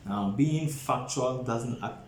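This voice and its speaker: A man in his thirties lecturing as an exam preparation tutor, speaking deliberately and repeating phrases for emphasis. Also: mid-range voice, a little mellow. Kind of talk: instructional lecture to camera. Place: small plain indoor office. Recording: roomy echo, faint background noise.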